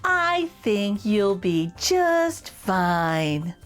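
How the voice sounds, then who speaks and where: A woman voicing a calm, reassuring mother shark in a storybook, speaking in a soothing, confident way. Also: medium pitch, extremely slow, soft background music, voice pushed down. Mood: gentle.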